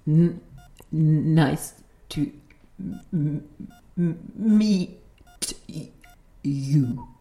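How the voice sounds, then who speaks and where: Very slow, frail grandmother. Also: deep voice, mellow, heavily acted. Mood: confused, halting. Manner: halting, stammering, words broken apart. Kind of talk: audio play acting. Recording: roomy, echoing sound.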